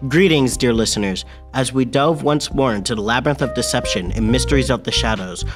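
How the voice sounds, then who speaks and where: A solemn old-time radio announcer performed by a man with a medium-pitched voice. The scripted narration is theatrical and ominous. A clear musical backing runs underneath. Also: a little fast, natural voice. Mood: dramatic. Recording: music bed behind the voice.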